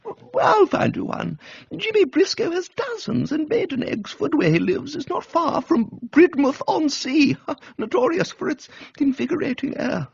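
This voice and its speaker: Breezy English gentlewoman aunt, played by a man, high swooping voice, bossy and teasing, for comic radio. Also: very animated, moderate pace, vocal fry, no room sound, muffled recording, full character voice. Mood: brisk teasing.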